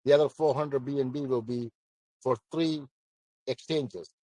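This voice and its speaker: Commanding man with a mellow, dry voice. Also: mid-range voice, steady tone, normal speed, no echo. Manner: blunt, emphatic, repeats himself. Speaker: project founder answering questions. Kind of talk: live audio Q&A.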